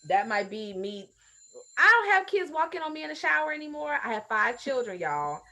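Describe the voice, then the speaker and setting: Expressive American woman, folksy storyteller. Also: high voice, moderate pace. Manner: folksy, expressive, acts out exchanges. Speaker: podcast guest, mother and coach. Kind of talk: animated conversational storytelling and advice.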